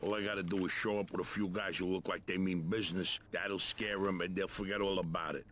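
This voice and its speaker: An actor playing an arrogant American dockside mob boss in a crime game, bullying and full of streetwise slang. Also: male voice, low voice, flat delivery, fast, a little hiss or hum. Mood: gruff, businesslike. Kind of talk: gangster drama acting. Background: loud music.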